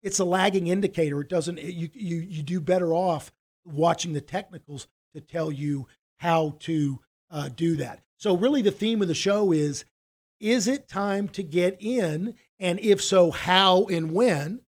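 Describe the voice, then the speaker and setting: High-voiced man hosting a chatty podcast. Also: measured pace. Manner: loose, blunt, conversational. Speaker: podcast host.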